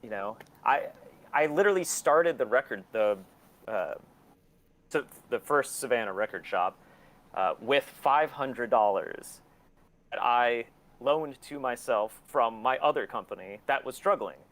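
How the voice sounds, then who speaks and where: A man's voice: mid-range voice, animated, measured pace, a bit bright. A man in his forties talking candidly and casually as an interview guest, blunt and opinionated, swearing often. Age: 40s. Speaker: interview guest, record label and museum owner.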